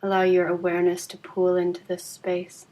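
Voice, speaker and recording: A woman guides a deep relaxation practice in a fairly low voice. Her delivery is very even, with little rise or fall. She speaks calmly and soothingly, leaving unhurried pauses between phrases. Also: rough recording. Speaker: yoga nidra guide.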